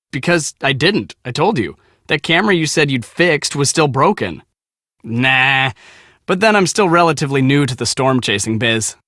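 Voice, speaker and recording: A cocky, high-voiced young American photographer. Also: male voice, fairly bright tone, no echo, clean studio sound. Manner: slangy, boastful, dramatic. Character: cocky, excitable young storm-chasing photographer, squeamish about mice. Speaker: video game character, storm team media guy. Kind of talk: animated casual game dialogue.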